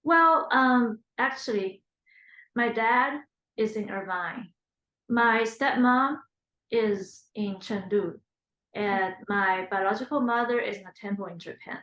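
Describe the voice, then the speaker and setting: A woman's voice: on the high side, slow, a bit bright, echoey room, rough recording. A woman in her thirties from China, whose first language is Chinese, thinking aloud in an oral history interview with fillers and restarts. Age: 30s.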